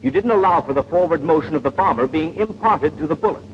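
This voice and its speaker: A man playing a patient, precise, didactic gunnery instructor in a 1940s animated training film. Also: a little high, quick pace, a little hiss or hum, close to an everyday voice. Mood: instructive. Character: patient, know-it-all male gunnery instructor, precise and unflappable. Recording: dull vintage 1940s film soundtrack.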